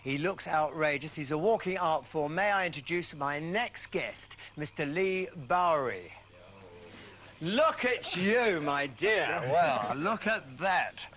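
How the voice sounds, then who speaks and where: A man's voice: a little high, slow, slight background noise. A man hosting a TV chat show in a camp, jokey way, flamboyant and teasing, often tripping over his words. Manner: flamboyant, teasing and excitable, with frequent restarts.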